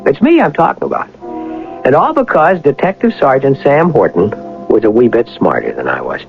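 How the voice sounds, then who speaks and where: A man's voice: medium pitch, wildly swooping pitch, a little fast, gravelly. An actor playing an educated, proud young mastermind bank robber who narrates his story in a polished, reflective old-time radio drama style. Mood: rueful. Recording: dull vintage radio broadcast, music underneath.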